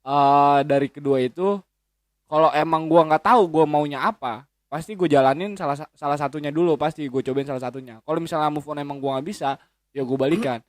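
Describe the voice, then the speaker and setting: A man's voice: on the high side, brisk, no echo, clean studio sound. A man, chatting as a guest in a rambling, slangy way with lots of swearing and restarts. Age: 20s. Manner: rambling, frequent swearing and self-correcting hesitations. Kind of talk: casual chat.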